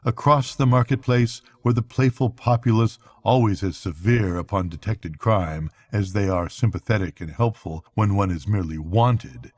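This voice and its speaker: An older man narrating a bedtime story aloud as a storyteller, with measured, dramatic phrasing. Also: fairly low pitch, darkish tone. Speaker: storyteller, grandfather reading aloud.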